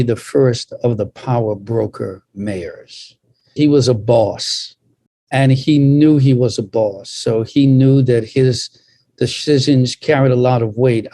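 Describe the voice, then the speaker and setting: An older man, a pastor, telling a reflective story on a panel with deliberate, emphatic phrasing. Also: medium pitch, mellow, no echo.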